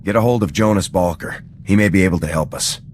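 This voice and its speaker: An actor playing a steady, heroic male squad commander in a video game, concise and commanding. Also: deep voice, fast talker, a little hiss or hum, lightly acted. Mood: attentive, decisive. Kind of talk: scripted video game cutscene acting.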